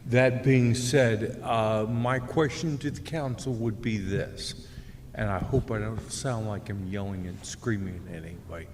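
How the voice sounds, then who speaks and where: An outspoken man with a booming voice, speaking as a resident at a public meeting podium. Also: fairly even intonation, a little slow. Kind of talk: public comment. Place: city meeting hall. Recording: echoey hall with faint room noise.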